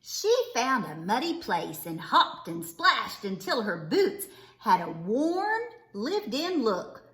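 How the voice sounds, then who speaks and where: Female storybook reader: high voice, swooping intonation, unhurried theatrical phrasing, echoey room. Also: big pitch swings, a bit bright. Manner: theatrical, deliberate phrasing for children. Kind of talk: expressive children's read-aloud narration. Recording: roomy, echoing indoor sound.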